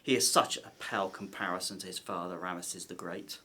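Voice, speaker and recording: A man's voice: deep voice, brisk, sharp tone, slightly rough, full character voice. A man playing a nervous, wary palace conspirator of ancient Egypt in an audio drama, speaking formally and theatrically. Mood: grave, conspiratorial.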